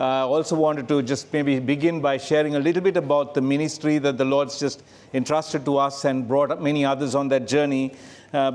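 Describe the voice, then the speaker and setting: Conversational Sri guest preacher. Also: male voice, a little high, darkish tone. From Sri Lanka. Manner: conversational, with frequent "uh" fillers. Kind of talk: sermon. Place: church sanctuary.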